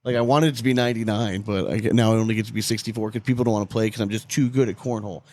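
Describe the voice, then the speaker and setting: A man's voice: lowish voice, steady tone, very fast, a little mellow. A man co-hosting a podcast in casual, profane banter, talking in an emphatic, rambling and sarcastic way. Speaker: podcast co-host.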